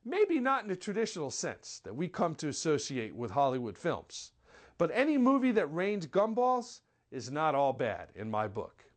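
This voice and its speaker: A film critic delivering a scripted movie review in an articulate, measured, wry manner. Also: medium pitch, huge pitch swings.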